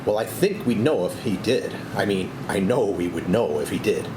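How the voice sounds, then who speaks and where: A man's voice: lowish voice, animated, normal speed, natural voice. A man playing an earnest, muddled hero at a comic screenplay table read, with a bumbling delivery. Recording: echoey room with clear background noise.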